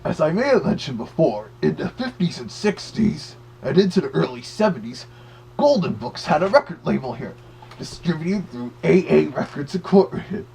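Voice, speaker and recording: A man's voice: fairly high pitch, sing-song, normal speed, creaky, dark tone, a little hiss or hum, poor audio. A man voicing a cartoon Big Bad Wolf who gives chatty, slightly hesitant commentary as a record reviewer. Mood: chatty, informative.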